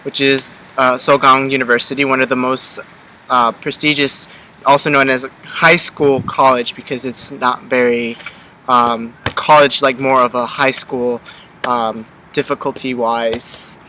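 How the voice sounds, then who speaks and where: Teen guy from connecticut, bright voice, rambly with lots of ums, bit of hiss behind. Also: medium pitch, measured pace, rough recording. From Connecticut, USA. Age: teens. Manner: relaxed, rambling with many ums and restarts. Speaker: exchange student vlogger. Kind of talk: casual chat.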